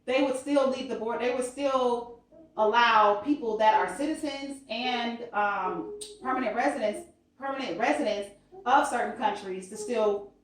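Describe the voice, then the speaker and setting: A woman's voice: on the high side, measured pace, very echoey, recorded from a distance, low-quality recording. A woman co-telling a travel story in a conversational way, hesitating with many fillers. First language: English. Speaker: vlogger. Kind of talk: storytime chat.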